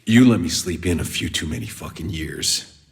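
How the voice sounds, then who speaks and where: An actor playing a gruff, world-weary soldier in a video game, blunt and sardonic, with a gravelly voice. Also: male voice, rumbling low, animated, moderate pace, long reverb tail, full character voice. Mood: demanding, tense. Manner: blunt, sardonic, casual and profane. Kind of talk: video game character dialogue.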